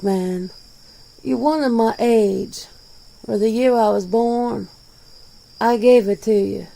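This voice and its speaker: A plain-spoken, world-weary werewolf played by a woman in an ASMR roleplay interview. The voice is soft-edged and dark with heavy fry, and it moves slowly. The delivery is casual, blunt and defensive. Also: mid-range voice. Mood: guarded, exasperated. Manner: blunt, casual, swearing, conversational.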